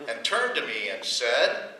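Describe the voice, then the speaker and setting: A man's voice: mid-range voice, very animated, unhurried. An American man playing a wry, put-upon husband in an old domestic radio comedy, speaking with dry sarcasm. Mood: dry narration. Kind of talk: 1940s radio comedy acting and first-person narration. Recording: echoey, distant old radio recording, faint noise.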